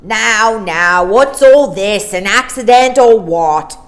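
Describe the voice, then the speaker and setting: A woman's voice: medium pitch, expressive, slow pace, voice pushed up. A woman voicing an indignant, scolding policeman in a children's storybook reading. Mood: officious. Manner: loud, scolding, exclamatory. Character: indignant, blustering policeman, adult man.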